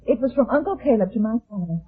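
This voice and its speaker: A woman's voice: on the high side, expressive, fast, breathy edge. An actress playing a frightened, fragile, haunted young woman in a vintage radio melodrama, speaking hesitantly and fervently with a tremor. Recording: muffled lo-fi old radio recording.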